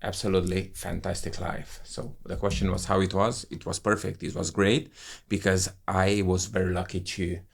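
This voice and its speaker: Deep gravelly-voiced man. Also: flat delivery, moderate pace. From Romania. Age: 30s. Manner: effusive, enthusiastic storytelling with non-native English phrasing. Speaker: guest, wine professional. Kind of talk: casual conversation.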